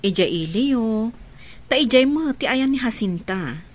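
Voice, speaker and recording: A woman's voice: fairly high pitch, expressive, unhurried. A woman playing a firm, practical grown daughter in a radio drama, earnest and reassuring.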